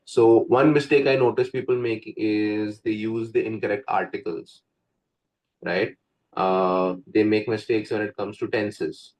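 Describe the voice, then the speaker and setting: A man's voice: lowish voice, fairly even intonation, unhurried, darkish tone, rough recording. A young man from India with an Indian accent, a Hindi native speaker, talking casually as an English coach in an interview, full of "like" and "right?" fillers. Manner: chatty, frequent "like" and "right?" fillers.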